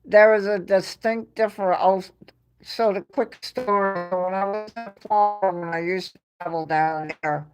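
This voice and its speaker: A woman's voice: mid-range voice, steady tone, moderate pace, over music, poor audio. A woman with a New York accent hosting a livestream, telling stories in a chatty way and laughing often between phrases. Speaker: livestream host. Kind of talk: casual chat to a live chat audience.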